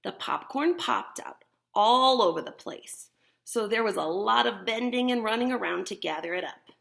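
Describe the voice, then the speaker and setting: Expressive woman reading aloud, creaky voice. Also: fairly high pitch, normal speed. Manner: expressive, explaining simply to young children. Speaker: teacher reading a story to children. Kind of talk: animated picture-book read-aloud with demonstration asides.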